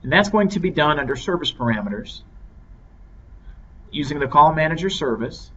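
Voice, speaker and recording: A man giving a measured, step-by-step software tutorial as an instructor. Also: a little high, lively intonation. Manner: measured, explanatory step-by-step delivery. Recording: dull lo-fi microphone with clear noise.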